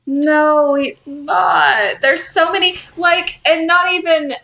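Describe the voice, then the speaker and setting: Woman podcast host, unhurried n halting, bright voice, bit of a muffled lo-fi recording. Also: extremely high pitch, fairly even intonation, creaky. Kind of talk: casual banter about a TV show.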